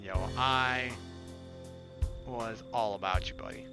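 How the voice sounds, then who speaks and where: A man's voice: mid-range voice, slow pace. A young man reading a visual novel aloud as a let's player, with casual, irreverent asides. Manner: playful, irreverent. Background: music.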